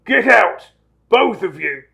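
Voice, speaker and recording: A man's voice: a little high, measured pace, creaky, fairly bright tone, voice pushed up, heavily acted. A man voicing a blustering, bullying middle-aged uncle from a storybook, sneering, spluttering and barking his words. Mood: panicked, furious.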